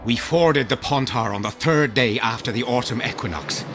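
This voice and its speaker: Fantasy game war veteran, male actor: medium-pitched, rustic, proud and blunt soldier, noisy backdrop. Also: moderate pace, natural voice. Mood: solemn, proud. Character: rough, battle-hardened medieval army veteran, proud and blunt. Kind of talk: video game dialogue acting.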